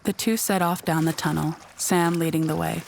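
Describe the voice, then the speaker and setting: Woman narrator for audio drama, slightly low voice, scripted storytelling, noisy ambience behind. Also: fairly even intonation, moderate pace, gravelly, a little mellow. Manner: descriptive storytelling.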